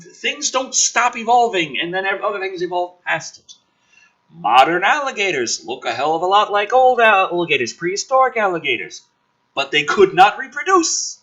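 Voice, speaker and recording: A man's voice: high voice, pushed and bright, dull audio. A man giving ranting commentary as the host of a script review, exasperated, sarcastic and full of swearing. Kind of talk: ranting commentary mixed with dramatic script reading.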